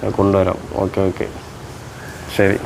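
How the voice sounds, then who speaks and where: A man's voice: sits low, completely flat delivery, moderate pace, gravelly, poor audio. A man chatting casually and playfully as a prank host, teasing and repeating his questions insistently.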